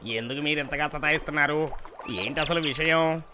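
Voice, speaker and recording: A man's voice: on the high side, brisk, background noise, natural voice. A man voicing a huge golden fish who has just been woken, sounding irritable and snapping. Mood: irritated.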